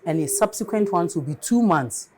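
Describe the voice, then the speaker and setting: A woman with a Ghanaian accent answering interview questions as an air traffic controller, explaining things step by step in a deliberate, emphatic way. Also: lowish voice, animated.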